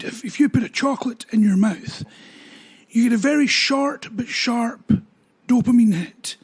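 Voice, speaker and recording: A man, a dog trainer explaining training ideas in a relaxed talk with everyday examples. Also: very high-pitched. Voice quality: husky voice.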